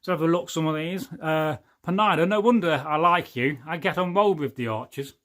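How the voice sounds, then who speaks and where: Rambling Englishman, slightly high voice. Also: a little fast, a bit bright. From England. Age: 40s. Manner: rambling and jokey, often losing his thread. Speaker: livestream host. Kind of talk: casual livestream chat reading viewer comments.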